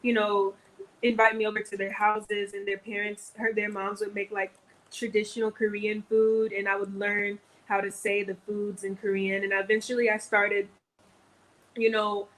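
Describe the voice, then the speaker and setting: A woman's voice: mid-range voice, fairly bright tone, low-quality recording. An American woman chatting casually as a guest on a live stream. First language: English. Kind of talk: casual chat. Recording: clear background noise on livestream audio.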